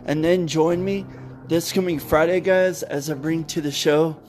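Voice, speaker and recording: Slow-talking man hosting a podcast. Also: a little high, fairly even intonation, a little mellow, soft background music. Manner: slow, deliberate announcing of upcoming shows. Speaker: podcast host. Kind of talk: casual podcast outro.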